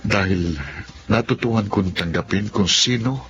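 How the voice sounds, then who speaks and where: An actor with a Filipino accent playing an ashamed, defiant young son in an emotional radio drama, speaking earnestly. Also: male voice, lowish voice, unhurried. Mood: reflective, grateful. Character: ashamed, defiant young son hiding his family's poverty. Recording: muffled radio drama, music underneath.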